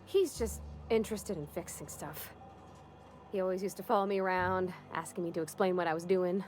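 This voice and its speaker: A young woman acting an eager, awkward ship mechanic in a video game, with a folksy, halting, earnest manner. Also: fairly low pitch, darkish tone, lightly acted. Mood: reflective, frank. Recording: music behind the voice.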